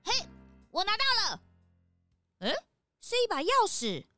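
A woman doing an eager detective's assistant in a children's audio drama, very high and bright with a lively, bouncing delivery. She fusses and jumps in eagerly, with soft music behind. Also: unhurried, exaggerated voice. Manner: eager, fussing.

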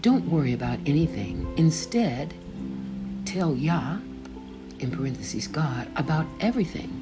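An older woman reading her own faith memoir aloud, slowly and deliberately, pausing to gloss the names in scripture. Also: sits low, expressive. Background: background music.

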